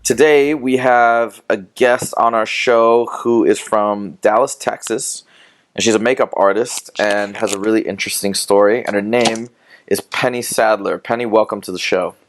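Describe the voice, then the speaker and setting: Male co-host, upbeat and joking, slightly low voice. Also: fairly even intonation, normal speed. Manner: joking and upbeat, asks questions. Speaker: podcast co-host. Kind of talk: casual interview chat.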